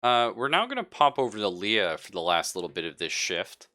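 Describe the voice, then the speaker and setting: A man's voice: lowish voice, sing-song, brisk, bright, forceful tone. A man acting as game master, narrating a live tabletop roleplaying scene in suspenseful second person.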